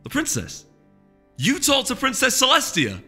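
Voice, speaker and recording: A man voicing an excitable, worried young female pony in a storybook reading, urgent and insistent. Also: extremely high pitch, normal speed, slightly rough, pitched above the performer's own voice, heavily acted. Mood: anxious. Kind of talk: audiobook character voice. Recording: echoey hall reverb, music behind.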